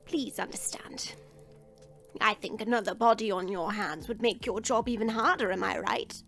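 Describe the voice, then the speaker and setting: Woman playing creaky, kindly old witch grandmother. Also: medium pitch, normal speed, a bit bright, soft background music. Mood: regretful. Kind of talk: fantasy audio roleplay acting.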